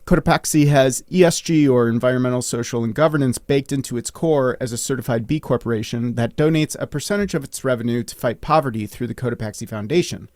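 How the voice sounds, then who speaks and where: A man's voice: medium pitch, fast talker, hardly any pauses, a little mellow, no echo, studio quality. A man hosting a podcast, reading a polished, upbeat introduction and then asking interview questions. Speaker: podcast host.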